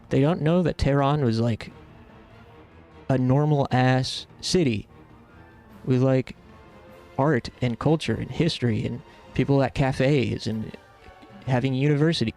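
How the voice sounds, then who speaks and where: A man's voice: mid-range voice, a little mellow. A man in his thirties from the United States, chatting casually and earnestly with stream viewers, rambling with lots of fillers. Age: 30s.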